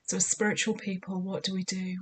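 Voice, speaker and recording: A woman speaks in an ordinary middle pitch, her voice a little croaky. She delivers spiritual guidance in a measured, soothing, deliberate way. A faint hiss sits under the recording. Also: little pitch movement, low-quality recording. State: slightly croaky voice. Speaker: spiritual guide. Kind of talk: scripted spiritual guidance talk.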